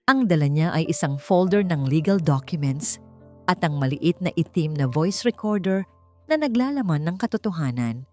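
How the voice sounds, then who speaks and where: Low-voiced woman narrating drama. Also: animated, normal speed, dark tone, faint music behind. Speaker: storyteller.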